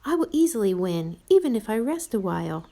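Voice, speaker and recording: A woman voicing a boastful, mocking storybook hare with a brisk, cocky way of talking. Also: medium pitch, sing-song, dark tone. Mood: smug. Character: boastful, scornful young hare, quick-talking.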